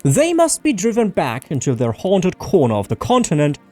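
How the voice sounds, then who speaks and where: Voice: mid-range voice, huge pitch swings, normal speed, a little mellow, faint music behind. A narrator playing a haughty, zealous elven official who declaims propaganda in a pompous, officious manner. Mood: scornful, zealous.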